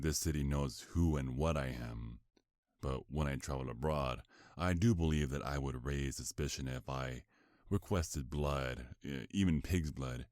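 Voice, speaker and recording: A man playing a sinister, condescending vampire mafia boss in an ASMR roleplay, speaking in a formal, menacing, drawn-out way. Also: a bass voice, a little mellow, no echo, clean studio sound. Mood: smug, explanatory.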